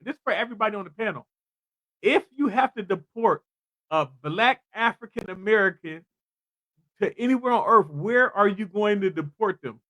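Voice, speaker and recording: A man's voice: high voice, animated, normal speed, pushed and bright, low-quality recording. A man hosting a casual panel debate, insistent, throwing out questions and repeating his points. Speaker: podcast panel host.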